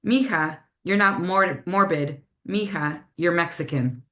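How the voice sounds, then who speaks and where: A woman's voice: fairly low pitch, bright, forceful tone, a little hiss or hum. A woman whose first language is Spanish, reading her own poems over a video call and chatting casually between them with lots of "um" and "like.". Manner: slower, measured delivery with Spanish phrases when reading poems. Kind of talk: poetry reading with casual spoken introductions.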